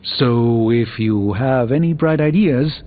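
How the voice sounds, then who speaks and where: An actor playing a middle-aged, scholarly sorcerer superhero in a video game, speaking in an articulate, wry, formal manner. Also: male voice, mid-range voice, slow, close to an everyday voice. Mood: grave, urgent. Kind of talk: video game character dialogue. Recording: thin phone-like audio, music behind.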